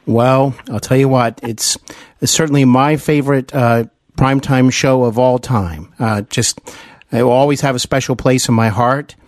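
A man in his forties hosting an interview podcast, speaking in an earnest, deliberate and effusive way. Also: mid-range voice, fairly even intonation, no room sound, studio quality. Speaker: podcast host.

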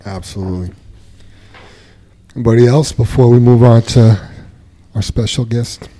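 A man's voice: mid-range voice, normal speed, mellow. A man hosting a workplace podcast in a casual, conversational style. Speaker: podcast host.

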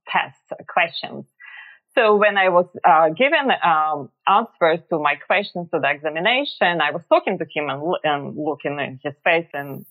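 Guest, woman in her 40s, Russian accent, halting delivery, thin phone-line sound. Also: sits low. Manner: halting, searching for words, frequent pauses. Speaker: podcast guest. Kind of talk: personal story in an interview.

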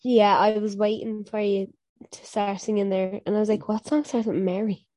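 A woman's voice: medium pitch, little pitch movement, moderate pace, dark tone. A young woman co-hosting a podcast with a stuffy, blocked nose, chatting in a rambling way full of "like" and "um". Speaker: podcast co-host. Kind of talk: casual chat.

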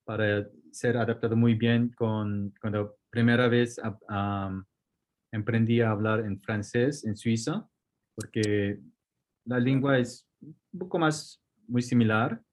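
Hombre con acento coreano, hablar vacilante. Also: male voice, on the low side, steady tone, takes it slowly. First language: Korean. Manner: hesitant, restarts words, mixes in English. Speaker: guest surgeon. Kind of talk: casual interview.

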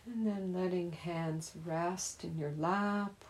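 A woman gently leading a guided meditation as a teacher, in a soothing, unhurried manner. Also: lowish voice, steady tone.

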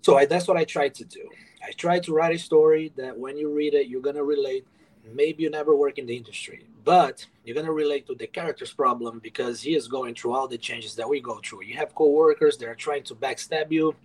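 A man's voice: a little high, fairly quick, darkish tone, low-quality recording. A man from Brazil, a guest author telling his story in an earnest, rambling way full of fillers on a casual podcast.

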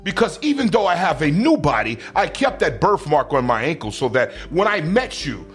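A man's voice: a little high, big pitch swings, moderate pace, soft background music. A man reading a comedic script aloud as a boastful, scheming action-movie villain, declaiming melodramatically. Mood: smug, explaining. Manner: melodramatic, declaiming, explains his schemes at length.

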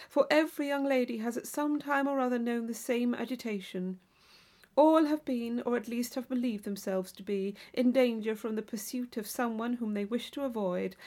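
A woman's voice: on the high side, darkish tone. Woman reading a classic novel expressively. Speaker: audiobook reader. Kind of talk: scripted literary narration.

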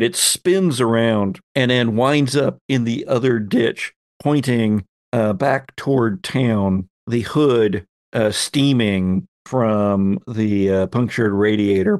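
A man's voice: mid-range voice, no room sound, clean studio sound. A man narrating a tabletop game as its game master, setting scenes in descriptive detail.

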